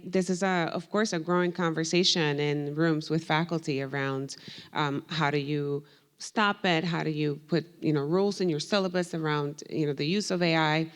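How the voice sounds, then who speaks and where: A woman professor whose first language is Spanish, answering on a panel in a long-winded, animated way with many fillers. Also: sits low. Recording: reverberant hall.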